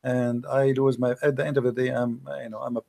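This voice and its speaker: A man's voice: mid-range voice, level tone, fairly quick, creaky, warm tone. A man hosting a call-in show, earnestly advising a caller in slightly non-native English. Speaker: host taking calls.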